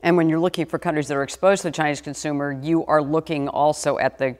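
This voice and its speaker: A woman hosting a financial interview show, asking brisk, probing questions. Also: sits low, fairly even intonation, a little mellow, in a large hall.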